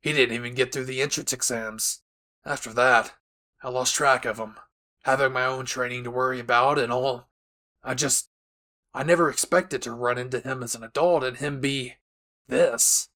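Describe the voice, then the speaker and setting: A man playing a gruff, blunt, short-tempered adult male hero in an anime audio roleplay, sarcastic and menacing. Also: mid-range voice, fairly even intonation, moderate pace, bright, forceful tone, close to an everyday voice. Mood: weary, serious.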